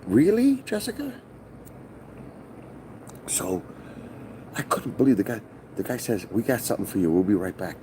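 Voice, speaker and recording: A middle-aged man from New with a loud voice, telling a rambling, profane story on a casual stream from his hotel. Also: lowish voice, huge pitch swings, moderate pace, pauses often, a little hiss or hum. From New York. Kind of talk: casual live-stream vlog. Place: hotel, indoors.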